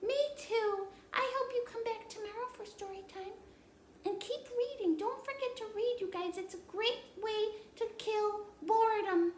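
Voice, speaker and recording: A woman's voice: very high voice, moderate pace, slight background noise, pitched above the performer's own voice. A woman voicing an eager little girl puppet who chirps along during story time. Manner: eager, chirpy, begs for toast. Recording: roomy echo.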